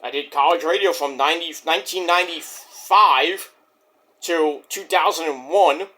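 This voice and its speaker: A man's voice: a little high, normal speed, bright, forceful tone. A middle-aged man with a Brooklyn New York accent, rambling boastfully through a casual wrestling review monologue. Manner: boastful, rambling, restarts and fillers.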